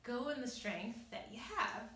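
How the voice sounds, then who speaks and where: A young woman giving informal, earnest Bible teaching in a conversational way with emphatic pauses, recorded in a small bare room. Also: fairly high pitch, lively intonation, bright, forceful tone. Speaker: devotional teacher and host. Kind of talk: informal Bible teaching, reading and explaining scripture. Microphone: camera a few metres away. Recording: echoey room, distant microphone.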